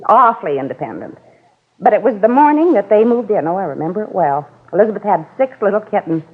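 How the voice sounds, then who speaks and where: A woman's voice: mid-range voice, big pitch swings, quick pace. An actress playing a chatty older female neighbor who rambles on about her cat, in 1950s radio drama style. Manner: rambling, gossipy. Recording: tinny old radio broadcast.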